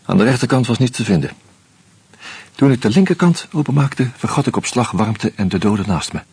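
A man narrating a radio drama as a weary man investigating a murder, in a measured, grim, low-key way. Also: darkish tone, breathy edge, no room sound, heavily acted. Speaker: first-person narrator and detective figure.

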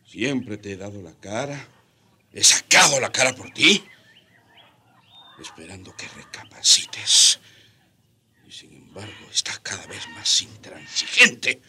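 An actor playing a haughty, exasperated Spanish conquistador captain in a radio drama, scolding and indignant. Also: male voice, fairly low pitch, very animated, takes it slowly, long pauses, bright, forceful tone, husky, heavily acted. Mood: weary reproach.

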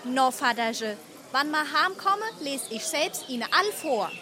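A woman's voice: high voice, animated, a little slow, bright, forceful tone, exaggerated voice. A woman playing an affectionate but outspoken young daughter with a Hessian accent in a dialect comedy. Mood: eager. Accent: Hessian, Darmstadt dialect. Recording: crowd noise around the voice.

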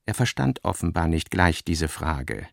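A man's voice: sits low, very animated, a little slow, gravelly, no echo, clean studio sound. A man narrating a literary radio drama, reading scripted prose as the storyteller.

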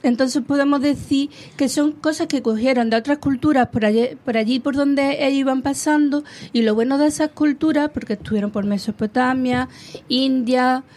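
A woman's voice: high voice, normal speed, a little mellow. A woman on a casual talk show, telling food history enthusiastically and rambling a bit as she goes.

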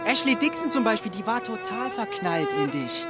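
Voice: medium pitch, very animated, moderate pace, loud music behind the voice, natural voice. An actor playing a sarcastic, needling teenage friend in audio drama dialogue. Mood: mocking.

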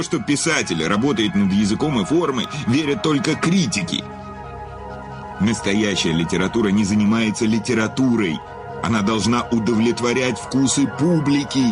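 An actor in a radio play playing a vain, cynical famous writer and confessed killer, with a theatrical, sardonic manner. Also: male voice, deep voice. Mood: haughty, impassioned.

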